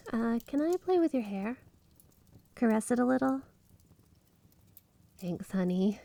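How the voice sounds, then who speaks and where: A woman's voice: medium pitch, a little mellow, dry recording, lightly acted. Woman acting a flirty, clingy snow spirit wife, close-up. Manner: coy, teasing, mock-offended, doting. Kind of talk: roleplay ASMR, scripted intimate monologue to the listener.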